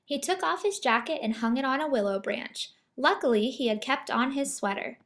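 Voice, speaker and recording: Woman reading a kids book out loud, fairly high voice, expressive. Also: normal speed. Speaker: picture book reader. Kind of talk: children's story read aloud.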